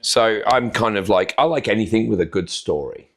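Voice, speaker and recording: A man answers interview questions, his voice sitting very low and heavy. He talks in a relaxed, rambling way and pokes gentle fun at himself. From North Wales. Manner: unhurried, rambling, self-deprecating. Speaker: podcast guest. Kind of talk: casual interview chat.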